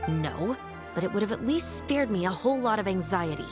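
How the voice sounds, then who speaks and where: A woman's voice: medium pitch, expressive, a little fast, natural voice. A woman voicing a stern but good-humored police officer in a video game, with an official, wry manner. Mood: wry, exasperated. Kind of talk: scripted video game voice acting. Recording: background music under the dialogue.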